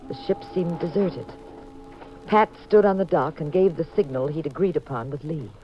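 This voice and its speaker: An actress playing a detective's curious, wry, squeamish wife who narrates a 1950s radio mystery. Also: female voice, deep voice, normal speed, close to an everyday voice. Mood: wry, suspenseful. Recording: muffled 1950s radio with music.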